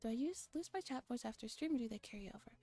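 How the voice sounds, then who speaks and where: A woman's voice: on the high side, lively intonation, rapid-fire, mellow, no echo, faint music behind. A woman streamer with a playful, casually profane manner, reading a visual novel aloud and chatting casually.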